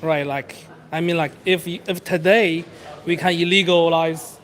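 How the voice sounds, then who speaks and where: High-voiced young man, halting and earnest. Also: steady tone, fairly bright tone. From outside Canada. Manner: halting, many restarts and fillers, earnest. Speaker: interviewee. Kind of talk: street interview on campus.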